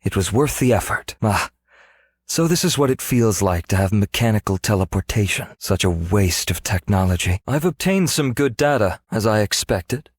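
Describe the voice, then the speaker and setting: A male actor voicing a scholarly, world-weary archaeologist in a video game, speaking in a measured, reflective, formal way. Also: on the low side, expressive, dry recording. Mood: dry, intrigued. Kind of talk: scripted game character voice lines.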